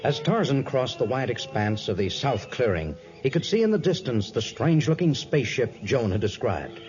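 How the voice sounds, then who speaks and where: A man's voice: medium pitch, sing-song, normal speed. A man narrating an adventure serial as announcer, in a dramatic scripted 1950s radio style. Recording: dull vintage 1950s radio recording. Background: faint music.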